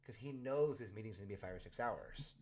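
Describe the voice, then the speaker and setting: A man hosting a casual livestream, pausing meeting footage to comment on it in a chatty, joking way. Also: on the low side, a little mellow. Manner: chatty, joking, fast asides. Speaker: livestream host.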